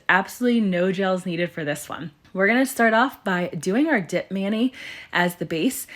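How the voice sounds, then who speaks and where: Chatty woman, a bit raspy from illness. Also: medium pitch. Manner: chatty and self-deprecating. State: sick, slightly raspy. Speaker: nail tutorial host. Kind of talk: casual how-to voice-over.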